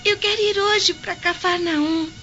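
A sweet, innocent Roman girl in a radio drama, pleading and compassionate. The voice is extremely high, on a dull recording with soft music behind. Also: fairly even intonation, unhurried, exaggerated voice.

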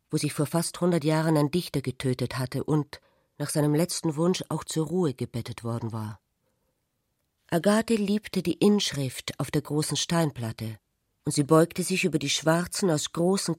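An actress narrating a literary radio drama as a troubled, wounded woman, measured and introspective. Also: low-pitched, darkish tone, no room sound, clean studio sound. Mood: sorrowful, introspective.

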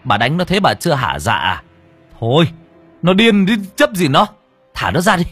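A man's voice: medium pitch, wildly swooping pitch, moderate pace, fairly bright tone, slightly rough, dry recording, faint music behind, full character voice. A man voicing a decent, firm male bystander in a narrated story, speaking bluntly and with reproach. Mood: reproachful.

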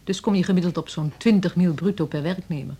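An actress playing a wary music school representative in a radio drama, guarded, dry and sardonic. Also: female voice, fairly low pitch, quick pace, dark tone, husky. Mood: defensive. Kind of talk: Dutch radio drama dialogue.

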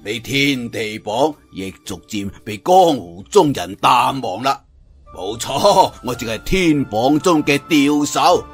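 A man voicing a jovial, sly old hermit master in a martial arts story, with a Cantonese accent and an amused, unhurried manner. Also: medium pitch, lively intonation, slightly rough, faint music behind, heavily acted. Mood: jovial, proud.

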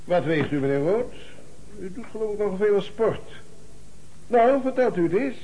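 A shrewd police commissioner in a radio play. His voice sits fairly high and swings widely as he questions with theatrical, insistent precision. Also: expressive, normal speed, dark tone, low-quality recording. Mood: sly, controlled. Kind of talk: Dutch radio drama acting.

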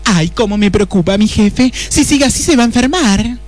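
A man's voice: sits high, expressive, fairly quick, pitched above the performer's own voice. A man voicing a flirtatious, fussy female secretary with an Argentine accent in a comic radio play, gushing and overwrought. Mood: worried. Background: music.

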